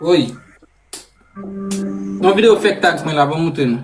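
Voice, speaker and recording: A man's voice: a little high, expressive, unhurried, music in the background. A man in casual, argumentative chat.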